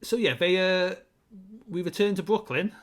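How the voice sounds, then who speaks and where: A man with a fairly high-pitched voice co-hosts a casual discussion show. He explains his points conversationally, feeling his way through sentences as he goes. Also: expressive, moderate pace. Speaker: co-host of a film discussion show. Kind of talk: casual chat, film commentary.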